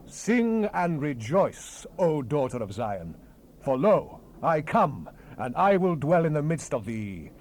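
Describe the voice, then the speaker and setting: A stern, majestic God played by a man declaims solemnly in a dramatized scripture reading. The delivery swings widely in pitch with a mellow tone, over the hiss of an old cassette. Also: sing-song, slow pace. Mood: exultant. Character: the Lord God, commanding, majestic, stern male deity. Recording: hissy 1970s cassette rip.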